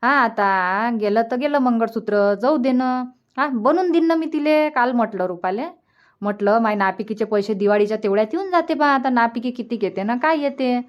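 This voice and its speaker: A woman's voice: a little high, normal speed, dull audio, natural voice. A woman playing a generous, practical village mother in a cartoon comedy, insistently reassuring in a Varhadi Marathi accent. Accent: Varhadi Marathi of Vidarbha. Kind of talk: dialect cartoon comedy dialogue.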